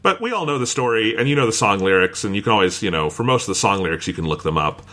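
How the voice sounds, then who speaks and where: A man's voice: on the low side, lively intonation, racing, fairly bright tone. A man hosting a podcast, chatting informally and swearing casually. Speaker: podcast host.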